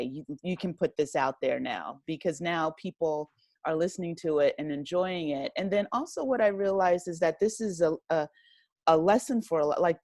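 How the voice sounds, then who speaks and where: A woman's voice: low-pitched, a little mellow, dry recording. A woman speaking as an interview guest, reflective and appreciative, in a casual conversational style. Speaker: interview guest, writer and director.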